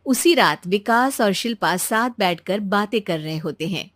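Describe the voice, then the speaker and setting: A woman's voice: medium pitch, animated, unhurried, a bit bright, no echo, studio quality. A woman narrating a scripted cartoon family story.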